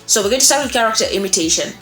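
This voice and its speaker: A woman's voice: fairly high pitch, animated, racing, fairly bright tone. A young adult woman giving an instructional talk to camera as a presenter, emphatic and often checking in with her listeners. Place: small indoor room, seated. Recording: echoey room with faint music.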